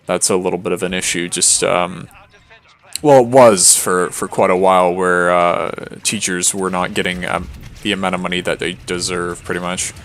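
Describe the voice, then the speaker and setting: A worn-out man speaks in a very low voice with plenty of gravelly fry. He rambles in a flat monotone, stalling on fillers and false starts as he plays and chats to his viewers. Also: normal speed. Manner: rambling, many fillers and restarts. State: tired. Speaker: streamer. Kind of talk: casual gameplay commentary.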